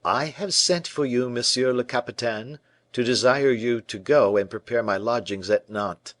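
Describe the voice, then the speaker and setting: A man's voice: mid-range voice, moderate pace, fairly bright tone, no room sound, voice pushed up, lightly acted. A commanding young king from a classic storybook, as a male narrator plays him, speaking in curt, imperious questions and orders. His voice sits a bit above the reader's own. It is dry and close-miked.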